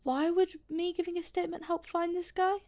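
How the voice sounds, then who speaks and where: A woman's voice: very high voice, level tone, brisk, a little mellow. A woman acting a polite, hesitant and sceptical visitor in a naturalistic audio drama, rambling a little awkwardly.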